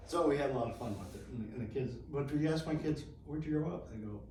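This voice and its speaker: A man's voice: medium pitch, quick pace, warm tone. Middle-aged man, chatty veteran interviewee, laughs through his stories, miked from afar in a noisy room. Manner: chatty, laughs often, restarts mid-phrase. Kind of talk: casual storytelling interview. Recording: echoey room, distant mic, noisy.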